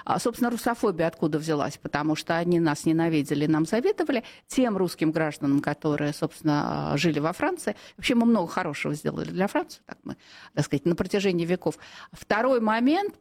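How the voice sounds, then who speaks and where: Heated, emphatic middle-aged woman. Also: medium pitch. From Russia. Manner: emphatic, heated, many fillers and self-corrections. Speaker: journalist, radio guest. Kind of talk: opinionated radio interview answers.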